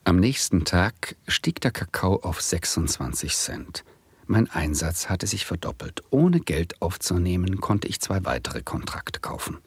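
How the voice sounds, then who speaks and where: A man's voice: low-pitched, big pitch swings, measured pace, slightly rough, no echo, heavily acted. A man playing a nervous, ironic amateur speculator who tells his own story in a German radio play. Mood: dry, ironic. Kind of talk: 1970s German radio play narration and dialogue.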